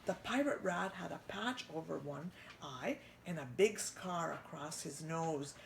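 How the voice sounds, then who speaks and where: Slow, expressive woman reading a storybook. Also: sits low, gravelly, a little hiss or hum. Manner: expressive, asks listeners questions. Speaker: children's storyteller. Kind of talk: reading a storybook aloud to children. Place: church.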